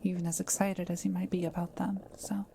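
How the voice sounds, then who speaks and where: A woman playing a kind, soft-spoken forest witch in an intimate audio roleplay, talking to the listener in gentle, formal, old-fashioned wording. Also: lowish voice, flat delivery, brisk, gravelly, a little hiss or hum. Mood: warm, hospitable.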